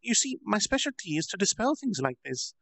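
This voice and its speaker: A man's voice: high voice, very animated, brisk, vocal fry, a bit bright, no room sound, pitched above the performer's own voice, exaggerated voice. A man voicing a proud, childlike girl demon lord who boasts in a bubbly sing-song.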